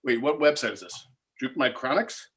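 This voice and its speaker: A man's voice: mid-range voice, animated, normal speed, a bit bright, close to an everyday voice. A man playing an uneasy, easily offended screenwriter of about forty, stammering awkwardly in a comedy table read. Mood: polite, uneasy.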